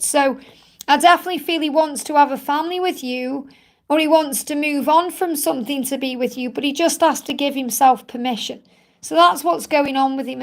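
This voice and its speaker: Croaky high-voiced woman reading cards. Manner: soothing and reassuring, repeats phrases often. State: croaky, tired voice from much talking. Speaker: tarot card reader and live-stream host. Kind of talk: live-stream Q&A card reading.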